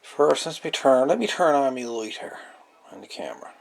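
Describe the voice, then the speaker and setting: A man's voice: medium pitch, expressive, moderate pace, gravelly. A man explaining a hands-on repair casually and matter-of-factly, off the cuff, at an indoor workbench. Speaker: hobbyist radio repairer, presenter. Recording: noisy amateur camera recording.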